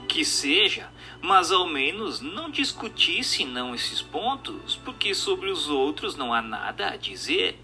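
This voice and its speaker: A man's voice: on the high side, sharp tone. A man with a Brazilian accent reading a scripted text in an emphatic, declamatory, didactic manner. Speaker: narrator. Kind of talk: scripted narration of a spiritist text. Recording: music bed under the voice. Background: music.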